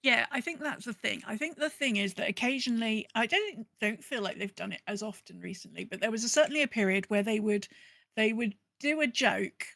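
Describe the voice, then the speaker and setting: A woman's voice: a little high, animated, a little fast, creaky, fairly bright tone, dry recording. A woman co-hosting a podcast, talking casually and animatedly, often acting out imagined remarks. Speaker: podcast co-host. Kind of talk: casual conversational discussion of a video game.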